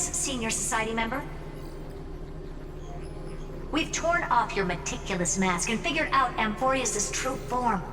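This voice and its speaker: A sharp, commanding genius from a video game, her voice high and exacting. She speaks assertively and demands answers, heard from a distance in a big reverberant space. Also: background noise. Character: sharp, commanding female genius confronting a villain. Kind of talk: dramatic scripted video game voice acting. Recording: distant, reverberant hall echo over music.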